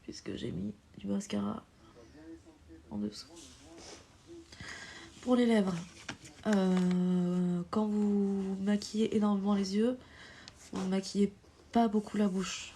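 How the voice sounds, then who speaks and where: A woman with a cold talks slowly through a live makeup tutorial, her voice gravelly and mellow. She hesitates often with filler sounds and slips into a whisper while applying makeup, picked up on a phone mic during a livestream. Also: mid-range voice, flat delivery, slight background noise. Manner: hesitant, many filler pauses. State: has a cold.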